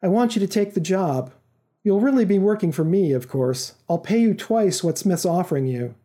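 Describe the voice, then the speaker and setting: Man reading as mysterious, teasing woman, very mellow. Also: high voice, animated, normal speed. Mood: assured, menacing. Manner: teasing, assured, controlling. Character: mysterious, playful, quietly menacing Chinese woman avatar. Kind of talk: audiobook-like story reading.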